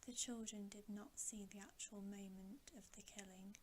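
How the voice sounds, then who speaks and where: A woman reading a bedtime story in a hushed, breathy, deliberate ASMR whisper, close to the microphone. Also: mid-range voice. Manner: hushed, unhurried, softly deliberate. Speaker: ASMR storybook reader and host. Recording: steady audible hiss.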